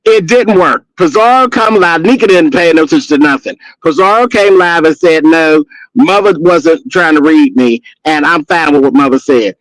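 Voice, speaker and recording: Blunt, sassy man in his fifties with a high voice, recorded dry and close. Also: normal speed, a bit bright, no room sound, low-quality recording. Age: 50s. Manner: sassy, blunt, gossipy with cussing. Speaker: guest on a live stream. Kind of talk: casual chat and storytelling.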